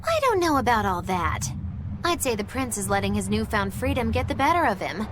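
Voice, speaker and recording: A brash female adventurer in a video game, high and animated. She sounds frank and casual, over clear background noise. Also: a little fast. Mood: skeptical.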